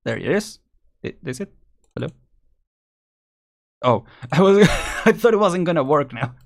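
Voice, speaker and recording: A man chatting casually and rambling as he thinks out loud on a live game stream. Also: on the high side, very animated, a little slow, gravelly.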